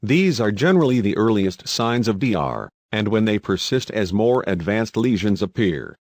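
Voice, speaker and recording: A man's voice: lowish voice, very animated, moderate pace, no echo, clean studio sound. A man from Somalia presenting a scripted educational narration as a health lecturer. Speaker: presenter of an eye health lesson.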